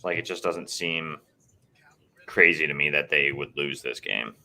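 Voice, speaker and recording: A man with an extremely low, rumbling voice hosts a casual sports chat. His voice is going, strained and hoarse, and he keeps clearing his throat. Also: unhurried, bright, forceful tone. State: losing his voice. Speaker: podcast co-host.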